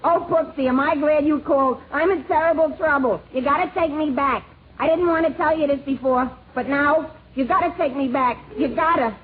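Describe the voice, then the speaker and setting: A man's voice: very high voice, normal speed, narrow, tinny audio. A man playing a childish, lovesick henpecked husband with a plaintive, naive whine in a 1950s radio comedy sketch. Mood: self-pitying, pleading. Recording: noisy band-limited 1950 radio, loud audience.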